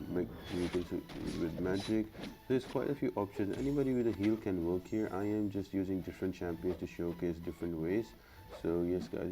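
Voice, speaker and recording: A man with a very low voice talks over clearly audible background noise. He gives casual gameplay commentary, explaining tactics and options step by step in an instructional way, like a guide host. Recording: clearly noisy background ambience.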